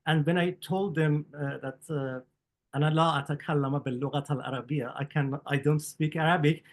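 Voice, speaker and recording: A man speaking English with a Persian accent, his voice sitting a little high. He answers interview questions slowly and haltingly, filling gaps with "uh" and restarting his phrases. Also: fairly even intonation. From Iran. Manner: halting, many fillers and restarts. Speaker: documentary filmmaker, guest.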